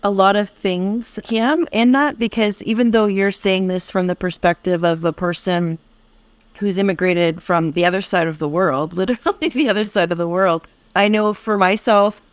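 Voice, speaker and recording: An American woman co-hosting a podcast, reflecting at length in a warm, affirming conversational way with many restarts. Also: medium pitch, normal speed, dry recording. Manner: reflective, affirming, speaks in long winding sentences with restarts. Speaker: podcast co-host.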